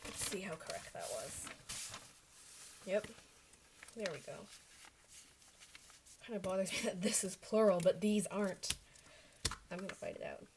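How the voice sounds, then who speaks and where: A woman's voice: medium pitch, animated, measured pace, long pauses, background noise. A young woman whose first language is Chinese, talking to herself as she checks her study answers on a casual livestream into a desk microphone, often correcting herself.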